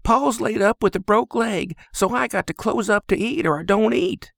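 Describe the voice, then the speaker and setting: A woman's voice: mid-range voice, moderate pace, vocal fry, no room sound, clean studio sound, pitched above the performer's own voice. A man voicing a young, blunt stable girl with a clipped country drawl. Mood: plainspoken.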